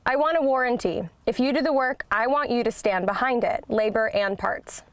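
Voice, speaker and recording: A woman's voice: on the high side, moderate pace, dry recording, natural voice. A woman playing a busy, plainspoken construction equipment manager, answering as the customer in a scripted business phone call. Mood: no-nonsense. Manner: matter-of-fact, plainspoken. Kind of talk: scripted business sales call role-play.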